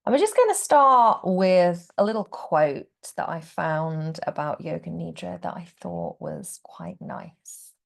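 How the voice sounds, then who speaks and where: Female meditation guide, lowish gentle voice with heavy fry, hushed at phrase ends. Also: sing-song, measured pace, hardly any pauses. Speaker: yoga nidra teacher guiding relaxation.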